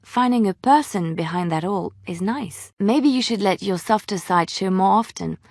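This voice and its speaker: A woman playing a romantic, earnest young bard in a video game, speaking with expressive, lilting phrasing. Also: mid-range voice, moderate pace, dry recording, clean studio sound. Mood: gentle. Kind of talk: video game character dialogue and storytelling.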